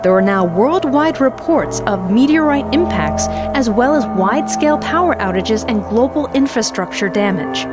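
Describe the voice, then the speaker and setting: A woman's voice: on the high side, expressive, normal speed, hardly any pauses, loud music behind the voice, natural voice. A woman playing a sober newsreader in a radio drama, delivering a formal bulletin with composure. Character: sober female newsreader reporting a global crisis.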